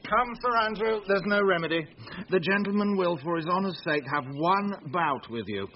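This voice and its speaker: An actor playing a boisterous, scheming knight in a Shakespearean radio comedy, blustering with mock-solemn theatrical delivery. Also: male voice, sits high, expressive, moderate pace. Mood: urging, exaggerating. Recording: dull lo-fi radio recording, noisy.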